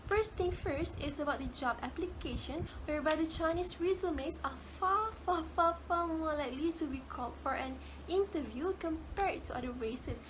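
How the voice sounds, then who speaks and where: A woman's voice: high-pitched, moderate pace, hardly any pauses, slight background noise, low-quality recording. A young woman with a Malaysian English accent giving a casual class presentation, often repeating words as she searches for phrasing. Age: young adult. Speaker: student presenter.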